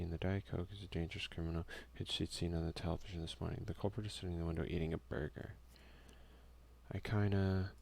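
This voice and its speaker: A man softly giving casual ASMR let's play commentary, muttering offhand asides and reading game text aloud. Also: low-pitched, creaky. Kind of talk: ASMR gaming commentary, soft-spoken and casual.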